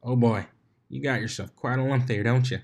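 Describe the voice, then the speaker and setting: A man's voice: mid-range voice, moderate pace, creaky, darkish tone, voice pushed up. A man voicing a folksy farm mare with a Southern American drawl. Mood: teasing.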